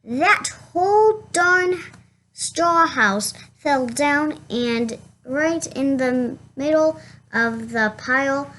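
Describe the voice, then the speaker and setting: Slow, defensive storybook wolf read by a woman. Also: high voice, animated, a bit bright, slight background noise, full character voice, rough recording. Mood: self-excusing. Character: male storybook wolf, chatty, defensive, self-excusing. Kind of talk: children's storybook read-aloud in first person.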